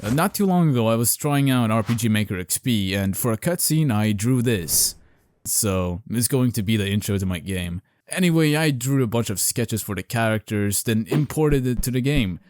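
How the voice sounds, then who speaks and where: A man's voice: medium pitch, animated, moderate pace, darkish tone, no echo, studio quality. Casual, self-deprecating man narrating. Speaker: video creator narrating a devlog.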